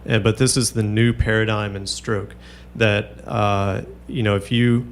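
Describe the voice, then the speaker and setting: A man as a doctor guest on a radio talk show, speaking haltingly with many fillers and restarts. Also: lowish voice, little pitch movement, slight background noise. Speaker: neurologist guest. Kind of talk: radio interview.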